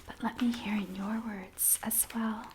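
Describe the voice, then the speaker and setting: A woman doing a soft-spoken ASMR roleplay as a biographer, gently and attentively addressing the listener as her client. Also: mid-range voice, steady tone, measured pace, background noise.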